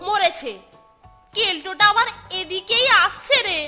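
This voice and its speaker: Cartoon boy sidekick: tiny high voice, drawn-out words, excitable and wary. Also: exaggerated voice. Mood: alert, nervous. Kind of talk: cartoon voice acting. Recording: loud music behind the voice.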